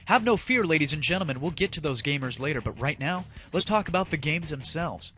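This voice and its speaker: A man with a fairly high, very animated voice hosts a comic gaming show. He is sarcastic and theatrical, playing up a mock-grandiose presenter style, with music clearly behind him. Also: fairly quick. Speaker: host of a gaming audio show. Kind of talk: sarcastic comedic show monologue.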